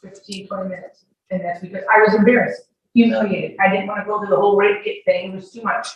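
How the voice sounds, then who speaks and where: A woman's voice: mid-range voice, fairly even intonation, quick pace, lo-fi sound. Emphatic woman testifying, very echoey and distant. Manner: emphatic, defensive. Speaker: witness testifying in court. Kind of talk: courtroom testimony under questioning. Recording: echoey, distant courtroom audio with faint noise.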